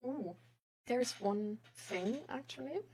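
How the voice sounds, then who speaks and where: A woman's voice: a little high, slow pace, a little mellow, slight background noise. A woman livestreamer chatting casually with her viewers in a hesitant, rambling way that often trails off. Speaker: live streamer.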